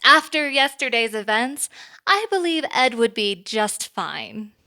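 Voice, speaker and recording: A woman's voice: fairly high pitch, very animated, a little slow, pushed and bright, heavily acted. A woman playing a composed, businesslike boss in an audio drama, with formal, dry phrasing. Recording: faint music behind.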